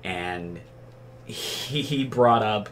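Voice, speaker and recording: A man's voice: on the low side, very slow, fairly bright tone, slight background noise. A man telling casual anecdotes among friends in a rambling, profane, incredulous way. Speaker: storyteller.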